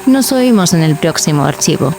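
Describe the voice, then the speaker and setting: A woman with an accent from Spain, hosting with measured, enigmatic, formal scripted narration. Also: low voice, sing-song, vocal fry, darkish tone, a little hiss or hum. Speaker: podcast host.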